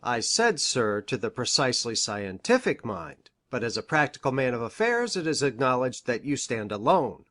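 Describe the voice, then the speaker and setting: Lady doing a fussy eager country doctor guy for an audiobook, low pitched, very up and down, formal and precise. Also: sing-song, normal speed, few pauses, a bit bright, exaggerated voice. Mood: earnest, formal. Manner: precise, formal, earnest, effusive. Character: eager, pedantic, eccentric male country doctor and scientist. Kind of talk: audiobook character voice.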